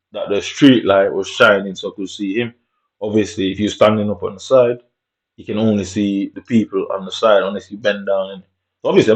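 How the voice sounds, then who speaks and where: A man telling a story in a casual monologue, in streetwise slang, swearing and tagging lines with "innit". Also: fairly low pitch. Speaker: vlogger. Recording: dull lo-fi recording.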